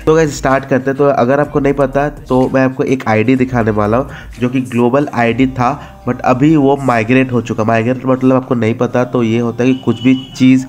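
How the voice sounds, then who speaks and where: A man's voice: mid-range voice, a little mellow. A man with an Indian accent giving a casual, informal gaming voice-over as host, mixing in English words and repeating himself. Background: background music.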